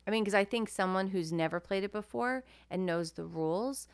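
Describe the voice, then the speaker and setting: A woman who grew up speaking Greek, co-hosting a casual podcast chat, animated and self-deprecating, often laughing. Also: mid-range voice, brisk, clean tone, dry recording. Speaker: podcast co-host.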